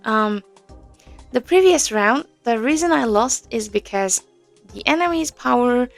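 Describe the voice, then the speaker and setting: A young woman talks slowly and unhurriedly, explaining a game in a casual walkthrough. She hesitates often, filling gaps with ums and small nervous laughs. Faint music sits behind her. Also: a little high, lively intonation, creaky. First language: Korean. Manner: hesitant, many fillers, soft nervous laughs. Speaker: audio game tutorial presenter.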